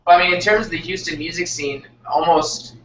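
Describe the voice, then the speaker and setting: A man's voice: on the high side, fairly quick, a bit bright. A young man from Texas in a casual band interview, speaking in hesitant, searching phrases full of fillers. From Texas, United States. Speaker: band member being interviewed. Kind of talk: casual chat. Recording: roomy, distant, dull, noisy group recording.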